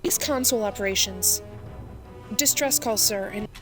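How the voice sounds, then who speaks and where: A woman playing a starship communications officer crisply reporting to superiors in a sci-fi audio drama. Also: medium pitch, normal speed, over music, natural voice. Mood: alert, urgent.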